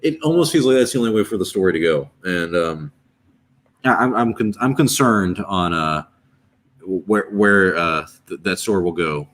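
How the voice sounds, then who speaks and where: A man's voice: deep voice, lively intonation, normal speed, a bit bright. A man in his twenties hosting a casual livestream, rambling and restarting as he reads chat and chats aloud. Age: 20s. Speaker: streamer host.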